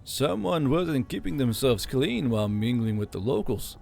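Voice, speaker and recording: A man's voice: mid-range voice, big pitch swings, normal speed, vocal fry, a little hiss or hum. A man playing a cheerful old elf governor. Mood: grim. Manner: cheerful, chirpy, gently teasing. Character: older male elf governor, kindly, chatty.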